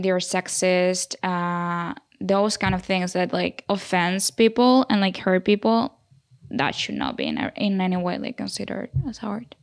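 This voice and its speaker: A young woman with a Spanish accent hosts a student discussion podcast. Her voice is smooth and clear at a middle pitch. She talks haltingly and fills gaps with "like" and "um". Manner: hesitant, many fillers like "like" and "um".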